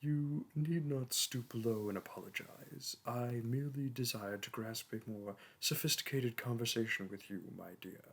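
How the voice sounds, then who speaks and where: Proud, centuries-old dragon teacher, played by a man, medium-pitched, lofty and courtly in speech, for a romance audio drama. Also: moderate pace, vocal fry, hardly any pauses, a bit bright. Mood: haughty, tender. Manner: grandiloquent, archaic, formal phrasing. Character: proud, ancient male dragon teacher, haughty yet amorous.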